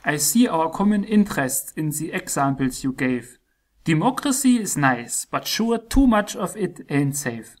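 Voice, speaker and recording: An actor playing a conciliatory, pragmatic European leader in satirical rhymed dialogue, speaking in a measured, formal, diplomatic way. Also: medium pitch, sing-song.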